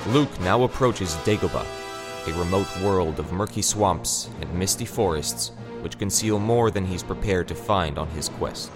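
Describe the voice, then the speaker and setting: A man's voice: deep voice, normal speed, over loud music. A man narrating an audio drama from a script in a solemn, portentous storytelling manner.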